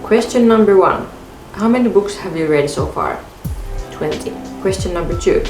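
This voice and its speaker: A young woman whose first language is Finnish reads through a book tag to camera in a calm, measured way from her bedroom. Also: mid-range voice, roomy sound, soft background music, poor audio. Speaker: book vlogger. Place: bedroom in front of a bookshelf, indoors.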